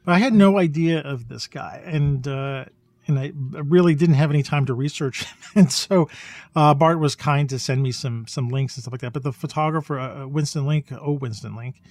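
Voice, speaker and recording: Halting man chatting in a dry studio sound. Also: a little high, darkish tone, no echo. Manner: halting, searching for words. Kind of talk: casual conversational podcast chat about photography.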